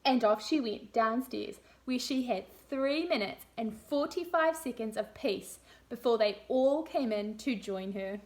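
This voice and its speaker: A woman reading a picture book aloud to young children in a deliberate, animated storytelling manner. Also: a little high. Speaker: teacher reading picture books to a class.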